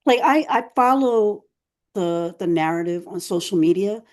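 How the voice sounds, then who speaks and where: A woman co-hosting a podcast in a casual, rambling chat, her voice going hoarse as she keeps clearing her throat. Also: mid-range voice, expressive, moderate pace. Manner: chatty, rambling, many fillers. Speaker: podcast co-host.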